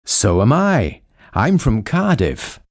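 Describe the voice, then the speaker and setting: Slow, sing-song male course reader. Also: medium pitch, huge pitch swings. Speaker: dialogue reader in a language course. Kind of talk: slow, clearly read textbook dialogue.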